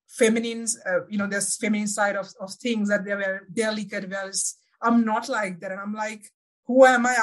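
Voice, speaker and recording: A woman's voice: mid-range voice, a bit bright, low-quality recording. Interview guest: woman, Sri Lankan accent, second-language English, mid-range voice, searching for words as she reflects. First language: not English (English is second language). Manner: halting, searching for words, self-correcting. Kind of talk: reflective personal interview answers.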